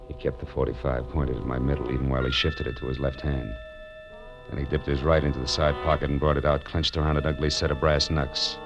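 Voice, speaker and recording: A man's voice: extremely low pitch, level tone, fast, over music. An American actor playing a tough, wry male private detective in 1940s radio noir style, clipped and wisecracking. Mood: tense narrating. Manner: hard-boiled, clipped, wisecracking. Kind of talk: 1940s radio noir acting and first-person narration. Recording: muffled old radio drama recording.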